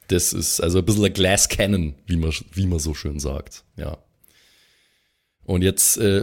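A man with a fairly low voice talking casually as a tabletop player on a podcast. His speech is colloquial and loose, sprinkled with English slang. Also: a little mellow. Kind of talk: casual banter during character creation.

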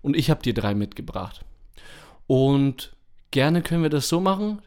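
A man hosting a podcast in casual chat, informal and often halting to correct himself mid-sentence. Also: mid-range voice, sing-song, a little mellow. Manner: informal, halting, self-correcting with repeated false starts. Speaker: podcast host.

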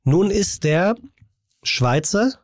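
A man's voice: high-pitched, big pitch swings, drawn-out words, no room sound, studio quality. A man talking casually as a podcast interviewer, phrasing his thoughts in a hesitant, roundabout way. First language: German.

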